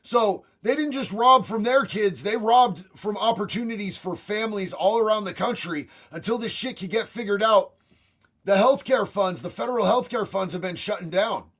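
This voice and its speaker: Man, young adult, raspy voice, high-pitched, blunt and profane, slangy livestream host reading chat. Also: moderate pace, fairly bright tone. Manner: blunt, profane, slangy, addresses viewers as bro and homie.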